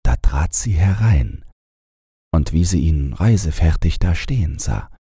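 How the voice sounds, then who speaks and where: A man narrating a bedtime fairy tale as an audiobook reader, in a calm, measured storytelling manner. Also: rumbling low, breathy edge, no room sound, studio quality.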